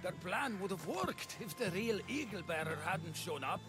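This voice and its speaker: An actor playing a forthright, embattled town leader and fighter in a video game, speaking emphatically. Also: male voice, high-pitched, very animated, normal speed, over music. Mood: grateful. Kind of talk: video game cutscene acting.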